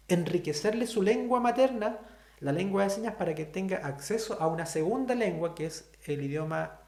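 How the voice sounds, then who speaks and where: A man's voice: fairly high pitch, vocal fry, darkish tone, echoey room. A man with a Chilean accent teaching a sign language class, explaining step by step and checking in with students. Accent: Chilean Spanish. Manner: explanatory, asks the class frequent questions. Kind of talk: online class lecture.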